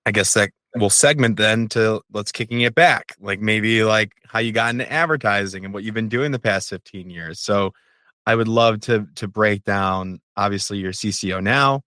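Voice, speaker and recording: A man's voice: on the low side, moderate pace, pushed and bright, dry recording. A man hosting a podcast, asking easygoing, rambling questions. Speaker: podcast host. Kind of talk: casual interview chat.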